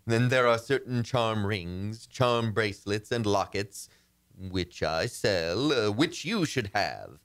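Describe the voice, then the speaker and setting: An actor playing a suave, self-assured astrologer in a radio comedy, with a soothing, theatrical manner. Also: male voice, on the low side, expressive, slow pace, a bit bright, heavily acted. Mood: smooth, professional. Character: smooth, self-assured male astrologer selling charms to clients. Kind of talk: old-time radio comedy acting.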